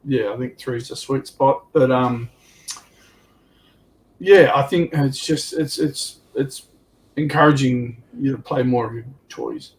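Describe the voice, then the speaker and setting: A man's voice: mid-range voice, a little slow, low-quality recording. A man on a livestream panel, chatting casually and telling anecdotes in a loose, informal way. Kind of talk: casual chat.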